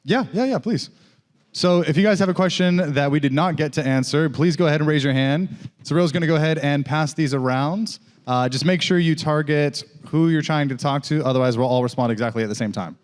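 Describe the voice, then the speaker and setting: A man's voice: fairly high pitch, a little fast. A man moderating a live panel, friendly and speaking directly to the audience.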